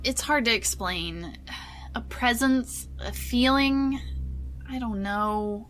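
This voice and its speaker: A woman's voice: fairly high pitch, slow, fairly bright tone. An actress playing a sullen, defensive teenage girl in an audio drama, snappy and dismissive. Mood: uneasy. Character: surly teenage girl in a disturbed house, sarcastic and defensive.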